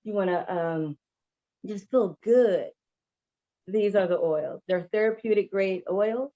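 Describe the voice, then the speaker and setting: A cheerful, happy-go-lucky woman casually pitching her products as a guest seller. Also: takes it slowly, dull audio. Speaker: guest vendor of essential oils.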